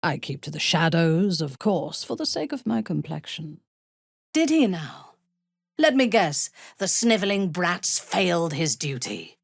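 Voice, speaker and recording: A ruthless female dark elf warrior for a video game. She speaks in a low, husky register with slow, formal, imperious phrasing. The delivery is proud and disdainful, recorded close and dry. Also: sing-song, dry recording, full character voice. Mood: haughty, sardonic. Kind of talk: video game character dialogue.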